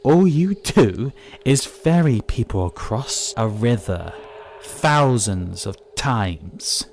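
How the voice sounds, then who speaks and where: A man's voice: mid-range voice, lively intonation, takes it slowly, creaky, a little mellow, faint music behind. A man playing a persuasive, pompous villain with grand schemes in an audio drama. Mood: coaxing. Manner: coaxing, pompous.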